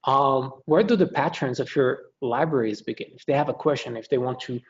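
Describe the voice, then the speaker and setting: A man from Morocco who lectures in computer science, giving a relaxed, friendly webinar presentation with frequent pauses and fillers. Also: medium pitch, lo-fi sound.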